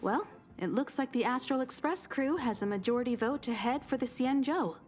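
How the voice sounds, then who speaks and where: A woman voicing a composed, authoritative crew leader in a video game, measured and formal. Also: high voice, expressive, faint music behind. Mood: composed, decisive. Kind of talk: English video game voice acting.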